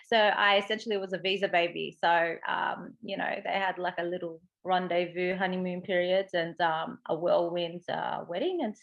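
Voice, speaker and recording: A woman's voice: lowish voice, steady tone, normal speed, creaky, fairly bright tone, dull audio. A woman with an Australian accent talking as an interview guest, chatty and explanatory, often checking in with "right" and "you know". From Sydney, Australia. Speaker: interview guest, psychic medium and coach. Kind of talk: casual interview conversation.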